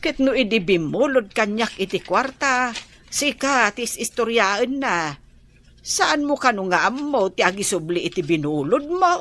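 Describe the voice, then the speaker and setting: Actress as a chatty, stingy Ilocano neighbor woman in a radio play; higher-pitched, animated. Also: a little slow. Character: gossipy, stingy neighbor woman, middle-aged. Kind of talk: radio drama acting.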